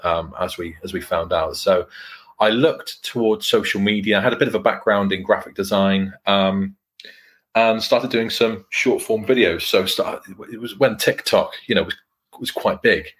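A man who is a mortgage broker, a guest in a casual interview, explaining himself in a modest, measured way with fillers. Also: lowish voice, fairly bright tone.

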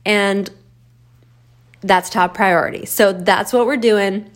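Slightly raspy woman podcaster, sick. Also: mid-range voice, fairly even intonation, slow. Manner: informal, emphatic, repeats phrases for stress. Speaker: podcast host and business coach. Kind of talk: casual solo podcast talk, riffing.

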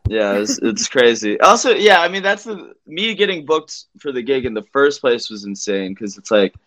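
A man telling a story as a guest comedian on a casual podcast, halting and repeating himself as he acts out exchanges. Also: mid-range voice, big pitch swings, fairly bright tone, rough recording.

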